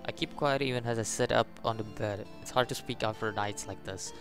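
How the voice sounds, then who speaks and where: A man's voice: lowish voice, steady tone, fairly quick, a bit bright. A man giving casual playthrough commentary, reading game text aloud and tossing in jokey side remarks. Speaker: gaming video host. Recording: faint music under the voice. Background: video game music.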